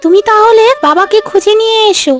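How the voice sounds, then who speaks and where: Very high whiny little girl. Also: a little fast. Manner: childlike, complaining. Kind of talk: Bengali cartoon storytelling dub. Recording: voice over background music.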